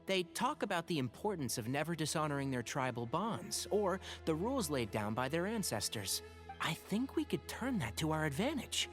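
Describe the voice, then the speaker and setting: An expressive game scholar-healer, fairly high voice, courteous; faint music. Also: male voice, normal speed. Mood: thoughtful. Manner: polite, lively, earnest. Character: thoughtful young male scholar and healer. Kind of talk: game voice acting.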